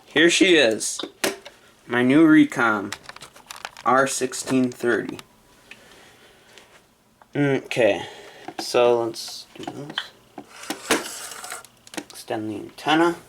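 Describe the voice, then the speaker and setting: A man talks slowly and haltingly in a casual unboxing video, at an ordinary medium pitch. He sounds like he is half talking to himself while his hands are busy. Clear noise, crinkling and clicks sit behind his voice. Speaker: vlogger unboxing a gadget. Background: handling noise, crumpling and clicks.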